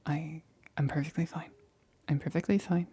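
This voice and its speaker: A nervous young-sounding lab assistant acted by a woman whispers through an ASMR roleplay. The voice is very breathy and stays in a narrow, even range. The delivery is halting and careful, anxiously reassuring while keeping to a clinical method. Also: low-pitched. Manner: hesitant, halting, repeats reassurances.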